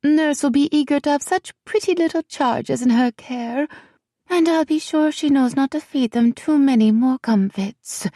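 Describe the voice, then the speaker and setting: A woman voicing a tiny, scheming queen who purrs her words in a silky, condescending way, sometimes dropping to a breathy whisper. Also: high-pitched, normal speed, mellow, no echo, clean studio sound, pitched above the performer's own voice, close to an everyday voice. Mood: imperious. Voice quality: purring, breathy whisper at times.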